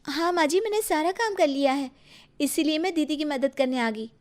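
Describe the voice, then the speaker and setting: A woman voicing an innocent, dutiful younger daughter-in-law, earnest and a little plaintive, in an animated family story. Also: high voice, normal speed, soft background music, close to an everyday voice. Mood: earnest, explaining.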